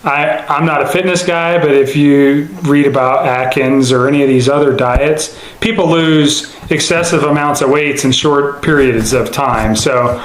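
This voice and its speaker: Higher-pitched American man interviewing in echoey room. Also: fairly even intonation. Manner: casual, halting, rambling questions. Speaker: police investigator conducting interview. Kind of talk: informal investigative interview. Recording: echoey room, faint noise.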